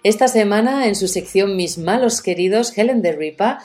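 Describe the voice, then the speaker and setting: A woman's voice: medium pitch, normal speed, a little mellow, soft background music. A woman hosting a radio show, introducing and chatting with her colleague. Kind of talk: casual chat and scripted introductions.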